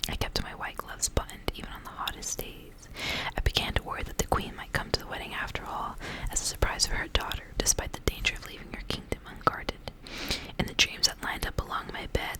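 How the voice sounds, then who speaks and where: A woman reading a story aloud in a hushed ASMR whisper from start to end. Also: low-quality recording. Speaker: ASMR reader.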